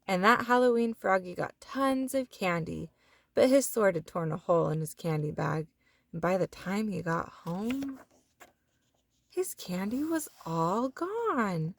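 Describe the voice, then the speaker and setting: A woman reading a children's storybook aloud in an expressive manner, drawing out words and sound effects. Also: mid-range voice, unhurried, creaky, no room sound. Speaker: children's book reader. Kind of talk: animated storybook read-aloud.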